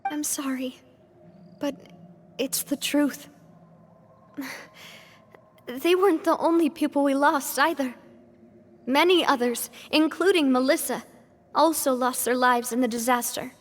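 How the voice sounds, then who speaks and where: A woman's voice: high-pitched, unhurried, long pauses. An actress playing a poised young female gang leader, gracious and sincere, in an English video game dub. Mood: sorrowful. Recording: reverberant, with faint music underneath.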